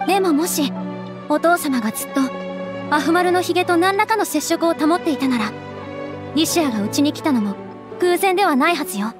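A high, ladylike young noblewoman, gentle yet resolute. She speaks with composed anime game refinement while music plays clearly behind her. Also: expressive, fairly quick. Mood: serious.

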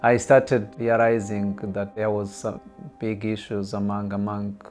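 A middle-aged man from Rwanda giving quiet, hesitant documentary testimony, often repeating words. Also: lowish voice, level tone, a little slow, soft background music. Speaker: genocide survivor interviewee. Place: indoor living room.

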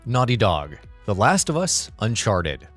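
A man's voice: on the low side, very animated, measured pace, fairly bright tone, husky, soft background music. A man reading scripted narration for a video game list show in a polished, emphatic way.

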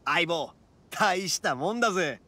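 A man playing a brash, big-hearted young oni gang boss in a Japanese anime game, with rough-edged, blunt street-tough slang. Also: high voice, animated, measured pace, bright, forceful tone, exaggerated voice. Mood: cocky, hearty.

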